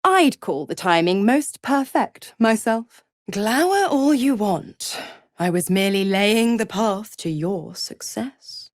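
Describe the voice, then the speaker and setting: A sly, mocking she-devil from a fantasy video game. Her delivery is unhurried and highly animated in pitch, purring and seductive, sinking into conspiratorial whispers. She is theatrical and condescending, captured in a close, dry recording. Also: no room sound. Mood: smug, teasing. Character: sly, seductive half-devil woman, a smug, mocking schemer.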